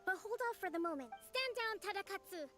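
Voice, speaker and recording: A woman's voice: extremely high pitch, animated, fast talker. An actress playing a polite, composed young woman leader and strategist in an anime-style game, with formal phrasing. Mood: calm, formal. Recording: music behind the voice.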